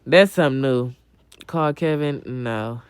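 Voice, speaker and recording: A woman's voice: extremely low pitch, unhurried, clear, resonant tone, no room sound. Young woman on a live just chatting w viewers, blunt, cusses a lot, slangy, says stuff over again, dry sound. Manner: repeats phrases for emphasis. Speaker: livestream host. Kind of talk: casual livestream chat, answering viewers' comments.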